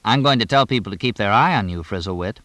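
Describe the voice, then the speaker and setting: Wry horse owner read by a male narrator, curious and teasing, expressive range, audiobook banter. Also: lowish voice, fast, no echo. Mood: probing, teasing. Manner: dry, inquisitive, bantering. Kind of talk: audiobook character dialogue.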